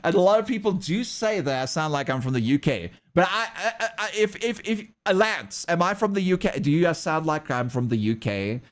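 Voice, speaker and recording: A man's voice: a little high, lively intonation, brisk, few pauses. A man from South Africa with a South African English accent mixed with Australian and American, rambling and chuckling as he chats casually with his livestream viewers. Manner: rambling, chuckling often, talks back to chat. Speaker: streamer and artist.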